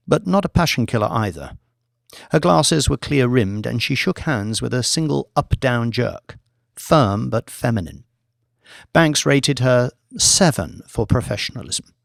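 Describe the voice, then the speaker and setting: A man's voice: medium pitch, gravelly, dry recording, studio quality. A man narrating a novel as a scripted audiobook reading.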